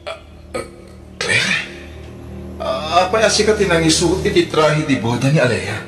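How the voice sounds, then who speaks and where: Animated young Ilocano suitor, music behind. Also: male voice, a little high, normal speed. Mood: shocked. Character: earnest, emotional young suitor. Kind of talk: Ilocano radio drama acting.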